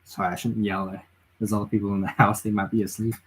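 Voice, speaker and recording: Husky, tired man rambling on a livestream. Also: fairly low pitch, flat delivery, fast. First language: English. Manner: rambling, reads chat aloud, frequent restarts and fillers. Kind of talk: casual political livestream chat. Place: home at night.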